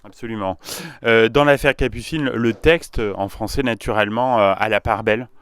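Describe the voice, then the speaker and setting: A male radio host asking calm, measured questions and murmuring along as he listens. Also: fairly low pitch. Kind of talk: radio interview.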